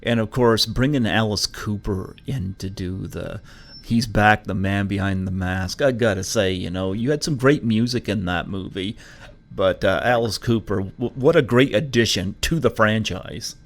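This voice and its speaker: A man's voice: on the low side, moderate pace. A man hosting a podcast, chatting casually with a guest, opinionated and chuckling between remarks. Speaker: podcast host. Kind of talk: casual interview chat.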